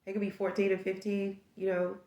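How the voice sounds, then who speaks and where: A woman's voice: medium pitch, monotone, fairly quick, dark tone, roomy sound, muffled recording, slight background noise. A woman with a stuffed-up nose giving a casual tarot reading, musing aloud and rambling through possibilities. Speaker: tarot reader.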